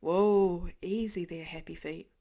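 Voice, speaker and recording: A woman's voice: fairly low pitch, steady tone, slow, dark tone, pitched below the performer's own voice. A woman voicing a confident, wisecracking grown man who talks in a dry, teasing way. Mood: wry. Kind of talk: audiobook fan reading.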